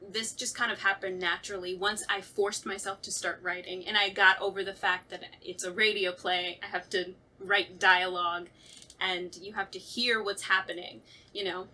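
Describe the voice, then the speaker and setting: A woman's voice: medium pitch, little pitch movement, normal speed, sharp tone. A woman writer chatting casually about her creative process. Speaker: radio play writer. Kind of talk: casual chat about writing.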